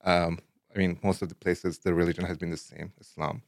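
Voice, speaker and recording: A young man from Afghanistan, a guest in a casual interview, talking in a relaxed way with many "like, you know" fillers and easy laughs. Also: low-pitched, a little fast, gravelly, no room sound, studio quality.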